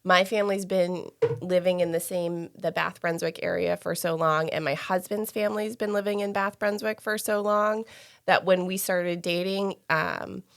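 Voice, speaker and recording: Gravelly-voiced woman hosting a podcast. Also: lowish voice, steady tone, moderate pace, fairly bright tone. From Maine, USA. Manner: chatty, laughing, trails off into restarts. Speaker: podcast host. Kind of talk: casual podcast chat.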